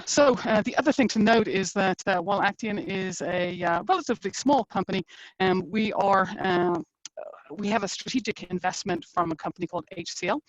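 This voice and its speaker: A middle-aged woman with an Irish accent gives a conversational company webinar presentation, filling pauses with uh and um. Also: fairly low pitch, no room sound, rough recording. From Ireland. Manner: conversational, frequent uh and um fillers. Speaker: engineering executive presenting a webinar.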